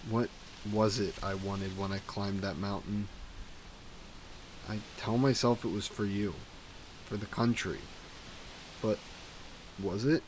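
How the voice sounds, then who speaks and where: A man voicing a grieving vampire king who talks slowly and with remorse about his past. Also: lowish voice, darkish tone, a little hiss or hum. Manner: slow, brooding, remorseful. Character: grieving regretful vampire king, former ruler. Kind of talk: audio roleplay narration.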